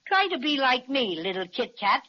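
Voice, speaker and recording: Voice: sits high, sing-song, moderate pace. An actor voicing a plucky, bumbling talking stuffed bear with button eyes in a 1930s radio serial, folksy and brave-sounding. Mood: boastful. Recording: dull lo-fi 1930s radio transcription.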